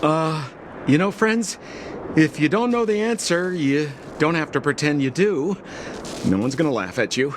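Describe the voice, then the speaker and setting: A slippery, boastful game hustler with a breezy, wheedling manner. The pitch moves in lively theatrical swings. Clear noise is audible behind. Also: male voice, a little slow. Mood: glib. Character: glib, boastful, slippery young male hustler. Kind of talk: scripted game cutscene dialogue.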